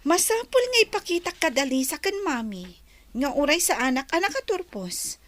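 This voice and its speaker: Audio drama role: petulant young daughter voiced by a woman, very high and bright, jealous and driven. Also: big pitch swings, normal speed, a little hiss or hum. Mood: determined, resentful.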